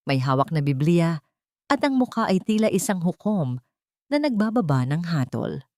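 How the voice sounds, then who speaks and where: Low-pitched female story narrator, dry recording. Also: big pitch swings, moderate pace, dark tone, dry recording. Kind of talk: dramatic scripted story narration.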